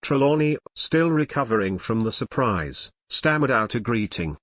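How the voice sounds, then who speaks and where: A man giving scripted storybook narration of an audio drama in a measured, dramatic way. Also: medium pitch, lively intonation, fairly bright tone, no room sound.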